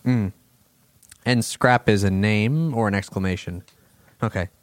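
A man's voice: on the low side, a little slow, no echo. A man in a recording session asking questions about names in the script. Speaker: voice actor.

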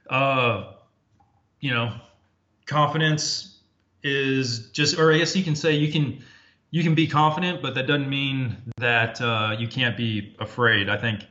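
A man talking as a podcast guest, reflective and casual, often pausing with fillers and restarting his sentences. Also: medium pitch, pushed and bright. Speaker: podcast guest, former Army Ranger officer. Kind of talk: casual interview talk. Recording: dull lo-fi audio.

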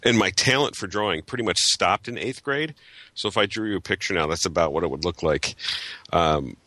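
A man in his forties from Chicago, a photographer guest telling his story in an animated way with many fillers. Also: deep voice, a little fast, dry recording. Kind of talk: interview answers.

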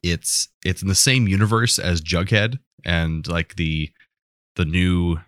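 A man's voice: deep voice, fairly even intonation, slow, a bit bright, no room sound, studio quality. A man co-hosting a podcast, chatting casually about pop culture in an enthusiastic, rambling way. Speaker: podcast co-host.

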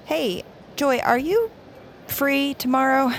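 A woman's voice: sits high, animated, slow pace, slight background noise. Earnest, persistent teenage girl. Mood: pleading. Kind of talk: naturalistic audio drama acting.

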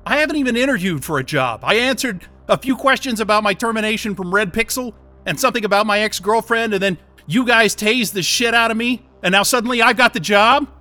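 An actor playing an angry, sarcastic software developer held captive in an audio drama, agitated and defiant. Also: male voice, high voice, brisk, few pauses. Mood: bewildered.

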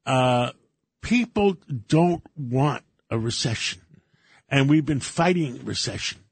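An older Greek-born man, a radio co-host, who talks casually in halting bursts with many fillers and restarts. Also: mid-range voice, animated, creaky, husky, dry recording. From Greece.

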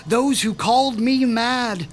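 A menacing mad doctor. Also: male voice, very high voice, slight background noise. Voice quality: measured, menacing, pompous. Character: man, a sinister mad scientist doctor seeking revenge. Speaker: Dr. Stein. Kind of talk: radio drama acting.

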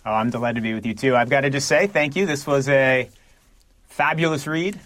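A man's voice: medium pitch, brisk, a bit bright. Man interviewer asking structured questions.

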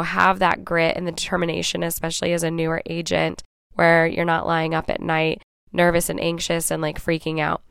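Raspy-voiced woman podcast host, hoarse. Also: on the low side, fairly bright tone, no echo, clean studio sound. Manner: chatty, often tags lines with "right?" and "okay?". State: hoarse from a cold. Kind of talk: casual solo podcast talk.

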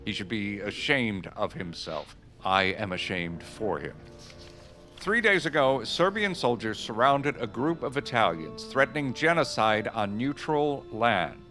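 An actor playing a middle-aged, pompous Secretary of State who is easily flustered, speaking formally in an audio drama. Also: male voice, on the low side, expressive, unhurried, sharp tone, soft background music. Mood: formal, indignant. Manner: formal, emphatic.

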